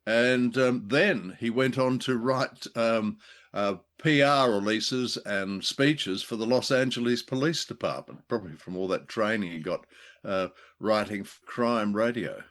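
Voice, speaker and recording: A man with a fairly low-pitched voice talks casually as a podcast co-host. His phrasing is halting and hesitant, feeling its way through an unscripted discussion. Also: fairly bright tone.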